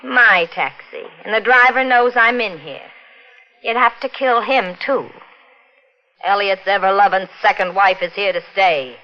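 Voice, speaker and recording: Actress for hard-edged blackmailing widow, clipped demands, muffled 1950s radio sound. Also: female voice, medium pitch, unhurried, close to an everyday voice. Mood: cold demanding. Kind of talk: 1950s radio drama acting. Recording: dull old radio recording.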